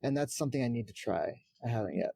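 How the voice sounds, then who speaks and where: A man's voice: mid-range voice, expressive, quick pace, creaky, over a noisy crowd. A man chatting casually about games as a podcast panelist. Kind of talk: casual gaming chat.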